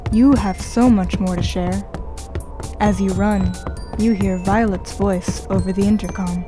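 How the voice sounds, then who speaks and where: An actress playing a calm, insinuating game guide who narrates in a measured, coaxing way in an audio drama. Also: female voice, mid-range voice, over music, lightly acted. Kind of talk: scripted second-person narration in audio drama.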